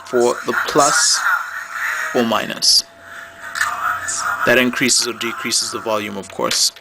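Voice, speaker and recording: A man's voice: mid-range voice, slow pace, very noisy. A man giving an unscripted how-to demonstration as a seller, explaining things plainly, step by step. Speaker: product demonstrator and phone seller.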